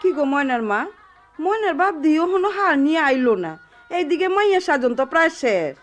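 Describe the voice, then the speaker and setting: A woman's voice: high voice, sing-song, a little fast, faint music behind. A woman playing a nosy, fretting village sister-in-law with an insistent manner and a Bangladeshi rural dialect, in a radio drama. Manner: insistent, gossipy. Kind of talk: Bengali radio drama acting.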